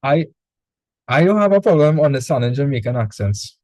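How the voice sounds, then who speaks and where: Trinidadian man tutoring math, mellow voice. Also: medium pitch, a little fast, no echo. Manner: relaxed, chatty, repeats words when starting. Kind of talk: casual online tutoring session. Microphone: video call.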